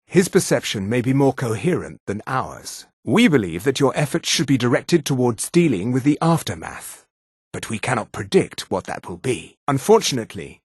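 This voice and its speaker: A man voicing a calm, grave high elf monk in a fantasy video game, speaking in a formal, measured manner. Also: husky, dry recording, clean studio sound.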